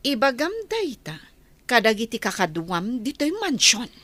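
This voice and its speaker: A woman's voice: on the high side, sing-song, a little slow, fairly bright tone, no echo, heavily acted. A woman playing an indignant, commanding mistress of a mansion in a melodramatic audio drama.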